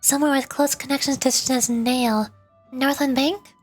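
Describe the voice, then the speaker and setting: Woman streamer, high voice, playful, reads game lines in different voices, soft game music behind. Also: fairly even intonation, normal speed. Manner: playful, switches voices for characters. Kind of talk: reading game dialogue aloud.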